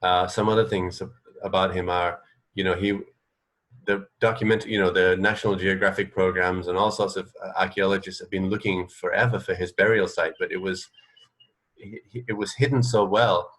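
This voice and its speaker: A man co-hosting a casual radio chat, explaining things in a halting, rambling way with repeated phrases. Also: deep voice, flat delivery, a bit bright, poor audio. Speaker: radio co-host.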